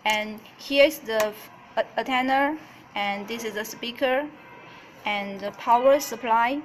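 Female product demonstrator, slightly high voice, hesitant non-native English tutorial delivery, light room noise behind. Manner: halting, repeats phrases while explaining in non-native English. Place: indoors at a desk.

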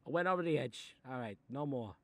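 A man playing a gruff, sarcastic Italian-American mob boss in a comic monologue, exasperated and muttering threats as he reads a picture book aloud. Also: medium pitch, animated, fairly quick, fairly bright tone, no room sound. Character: short-tempered, sarcastic Italian mob boss babysitting a kid. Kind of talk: comic improvised character monologue.

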